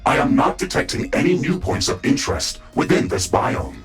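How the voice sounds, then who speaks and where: An actor playing a calm, matter-of-fact male AI of a mecha suit in a sci-fi game, with clipped, formal technical reporting and a synthetic delivery. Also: a little high, normal speed, husky, soft background music, full character voice. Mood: serious, analytical. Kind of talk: scripted sci-fi game dialogue.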